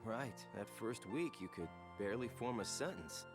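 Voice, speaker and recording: Man playing cocky young man, teasing, lively lilting delivery, game cutscene, loud music behind. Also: fairly low pitch, normal speed, lightly acted. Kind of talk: video game voice acting.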